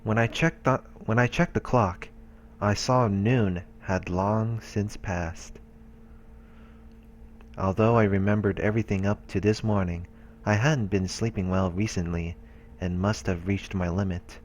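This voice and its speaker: A man reading visual novel text aloud in a casual, halting way, as a let's player. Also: on the low side, steady tone, a little hiss or hum.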